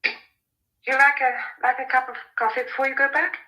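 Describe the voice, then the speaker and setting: A woman's voice: sits high, level tone, normal speed, pushed and bright, low-quality recording. An actress playing an earnest, anxious young woman confronting a secretive houseguest in a radio drama. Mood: friendly.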